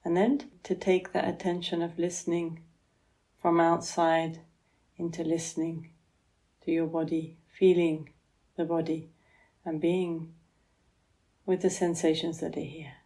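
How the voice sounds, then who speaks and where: A woman guiding a relaxation meditation as a yoga therapist, speaking in a gentle, soothing manner with long pauses. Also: on the low side, flat delivery, rough recording.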